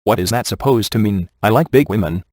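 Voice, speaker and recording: A man playing a wisecracking, sardonic ghost hunter in a video game, with a deadpan, offhand delivery. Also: lowish voice, brisk, dry recording, clean studio sound, lightly acted. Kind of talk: video game voice acting, comic one-liners.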